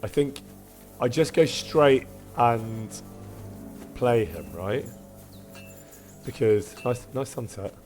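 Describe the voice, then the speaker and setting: A man streaming a game with casual, self-deprecating commentary, in a husky voice, chatting with his viewers. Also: mid-range voice, animated, takes it slowly, long pauses, darkish tone, faint music behind.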